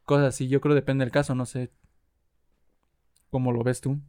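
A young man with a Mexican Spanish accent keeps a casual chat going. He jokes, laughs often and steers the talk with questions. His pitch is an ordinary middle range. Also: flat delivery, moderate pace, dark tone, smooth. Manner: joking, asks questions, laughs a lot.

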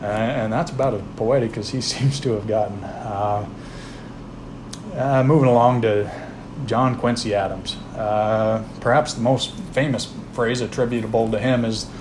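A man hosting a podcast, talking through history in a plain, unhurried way with frequent "uh" fillers and restarts. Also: on the low side, steady tone, noisy background. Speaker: podcast host. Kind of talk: informal history talk.

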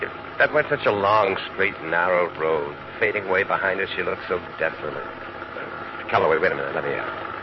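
A man playing a lovesick American narrator in an old radio drama, speaking quietly and wistfully. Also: low-pitched, a little fast, background noise. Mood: urgent. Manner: quiet, wistful, drops to near whisper. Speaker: first-person narrator of a radio play. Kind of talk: 1940s-50s radio drama acting. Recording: dull old radio broadcast with music.

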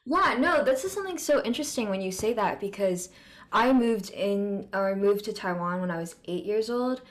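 An American woman from California hosting a podcast, chatting casually with quick asides and fillers. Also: medium pitch, animated. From United States (California). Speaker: podcast host. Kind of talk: casual conversation.

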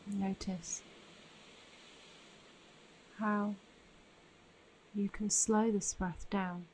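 A woman guiding a meditation in a soothing, unhurried manner with long pauses between phrases. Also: medium pitch, flat delivery, a little mellow, a little hiss or hum, poor audio. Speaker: meditation guide. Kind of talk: guided meditation narration.

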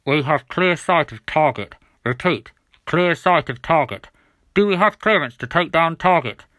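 A man's voice: a little high, animated, unhurried, fairly bright tone, dry recording, voice pushed up. A man voicing an official police helicopter radio operator in an audiobook. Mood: official, urgent. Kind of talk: audiobook character voice.

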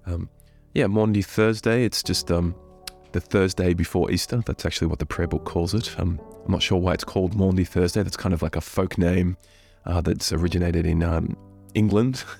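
A man with a head cold and a hoarse voice, leading prayers and giving an earnest, unhurried reflection as a church minister. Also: low-pitched, fairly even intonation, a little mellow, faint music behind.

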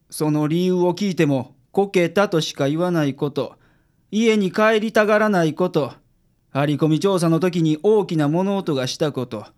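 A man with a Kansai accent acting a confident, frank private detective in a radio drama, explaining things in a measured way. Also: high-pitched, a little mellow, smooth. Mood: confident, blunt. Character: confident, frank male private detective reporting calmly to a client. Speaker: amateur radio drama actor.

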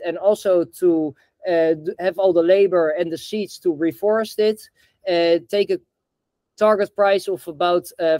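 Guest founder from the Netherlands; fairly high voice, halting and filler-heavy, dry sound. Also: male voice, darkish tone, no echo. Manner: hesitant, many filler sounds and restarts. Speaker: guest, bamboo reforestation founder. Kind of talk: podcast interview.

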